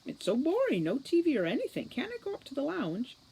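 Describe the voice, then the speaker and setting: A woman's voice: sits high, wildly swooping pitch, quick pace, dark tone, voice pushed up, heavily acted, poor audio. A woman reading a children's fantasy audiobook as a giddy, mesmerized teenage girl, chirpy, childlike and rambling. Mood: whiny, pleading.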